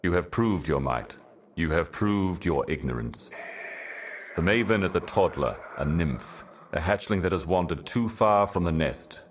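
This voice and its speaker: The Envoy, profoundly deep ancient cosmic herald. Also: male voice, expressive, background noise. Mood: stern warning. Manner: solemn, portentous, measured oratory. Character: The Envoy, ancient cryptic otherworldly being warning of doom. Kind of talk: theatrical fantasy game monologue.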